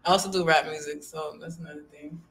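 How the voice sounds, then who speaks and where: A young woman chatting casually on a podcast, talking in a flat, monotone way with little energy. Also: deep voice, quick pace. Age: young adult. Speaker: blind date guest. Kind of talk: casual chat. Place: small podcast studio, indoors. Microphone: studio microphone held close.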